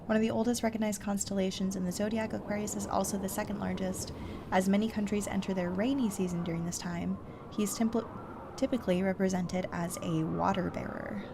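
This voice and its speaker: A woman doing casual let's-play commentary, thinking aloud in a halting, self-questioning way. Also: medium pitch, fairly even intonation, creaky, background noise. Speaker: host playing a video game.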